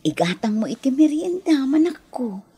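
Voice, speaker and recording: A woman playing a loving, hardworking mother in a radio drama, speaking husky and tenderly. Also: sits high, sing-song, normal speed, dark tone. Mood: tender, grateful. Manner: tender, affectionate.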